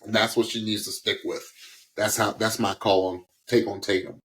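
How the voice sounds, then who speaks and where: A man co-hosting a music podcast, giving casual, opinionated commentary on singers in a halting way. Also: on the low side, little pitch movement, a bit bright. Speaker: podcast co-host, singing critic.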